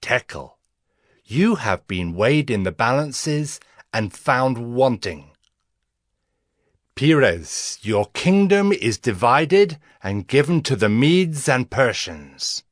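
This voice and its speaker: Slow, solemn prophet, boldly declaiming. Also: male voice, medium pitch, very animated, dry recording, clean studio sound. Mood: grave. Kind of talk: dramatized scripture reading.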